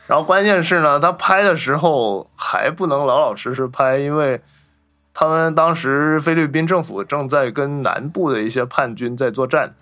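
A man's voice: on the high side, moderate pace, fairly bright tone. A man hosting a film podcast, chatting casually and telling anecdotes in a wordy, repetitive way.

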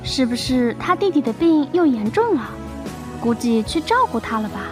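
A woman plays a nosy office gossip in an audio drama. She is brisk, with a high voice that swings up and down, over clearly audible music. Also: sing-song. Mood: curious, dismissive. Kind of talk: Chinese audio drama acting.

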